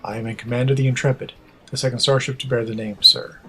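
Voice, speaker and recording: A man's voice: medium pitch, little pitch movement, pushed and bright, a little hiss or hum, close to an everyday voice. Man playing stoic, formal Vulcan captain. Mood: solemn. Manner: measured, formal, precise. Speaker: voice actor. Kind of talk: audio drama, formal log narration.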